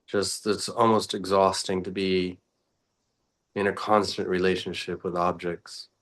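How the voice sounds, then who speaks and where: A man speaking as a meditation teacher, guiding reflectively and unscripted in short, contemplative phrases with long pauses. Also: low voice, level tone, a bit bright.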